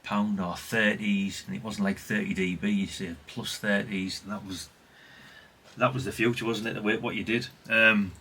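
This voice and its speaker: Middle-aged British male hobbyist host, forward and raspy tone, informal, wandering delivery full of self-corrections. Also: on the low side, steady tone, normal speed, bright, forceful tone. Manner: chatty, rambling, self-correcting, tag questions. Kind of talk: casual rambling show-and-tell.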